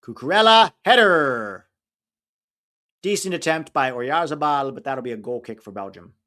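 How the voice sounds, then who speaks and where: A man's voice: a little high, animated, bright, forceful tone. A man co-hosting a live soccer watch-along, chatting casually and venting blunt opinions, with a mixed, hard-to-place accent. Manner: blunt, opinionated, quick to vent frustration. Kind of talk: casual live-stream match commentary and chat.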